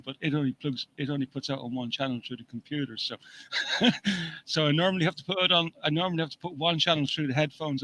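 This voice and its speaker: A man's voice: medium pitch, a bit bright, slight background noise, low-quality recording. A man co-hosting an online show, explaining his sound setup in a rambling, laughing way over a computer mic. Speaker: show co-host. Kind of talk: casual chat over an online call. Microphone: computer mic with headphones.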